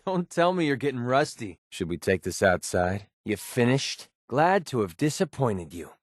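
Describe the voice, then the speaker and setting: A man playing a young, wisecracking, cynical mercenary with a soft side in a video game, speaking in a wry, colloquial way. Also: medium pitch, big pitch swings, normal speed, no echo, studio quality, lightly acted. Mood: defiant. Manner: wry, sarcastic, colloquial delivery. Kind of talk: scripted video game companion dialogue.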